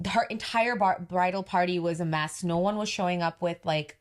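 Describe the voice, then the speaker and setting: A woman with a deep voice, co-hosting a podcast and telling a story in casual chat while acting out the quoted dialogue. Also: normal speed. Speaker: podcast co-host, business owner.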